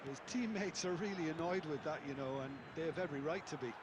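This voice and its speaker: Football co-commentator dude, calm and explaining, fairly high voice, noisy background. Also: male voice, fast talker. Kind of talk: scripted match analysis.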